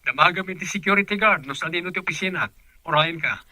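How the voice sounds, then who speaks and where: A man's voice: high voice, fast, sharp tone, no room sound. A man with a Filipino accent playing a businesslike, authoritative company department head in a radio drama. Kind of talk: Ilocano radio drama acting.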